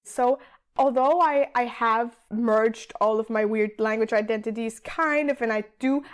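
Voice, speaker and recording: A woman from Austria talks as a podcast guest in a relaxed, chatty way, thinking aloud as she goes. Her voice sits somewhat high for a woman. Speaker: guest, PhD student. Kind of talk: casual interview chat.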